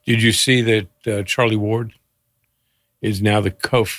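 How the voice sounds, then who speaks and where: A man's voice: on the low side, fairly even intonation, measured pace, a bit bright, no echo, studio quality. An older man, a guest and the host's father, chatting casually in a wry, teasing way and laughing often. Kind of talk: casual chat.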